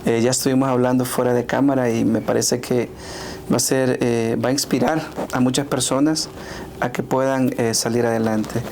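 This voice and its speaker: A man's voice: mid-range voice, level tone, moderate pace, darkish tone, a little hiss or hum. A man from El Salvador hosting a podcast interview, speaking with many "eh" fillers and short affirmations. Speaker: podcast host. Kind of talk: conversational interview.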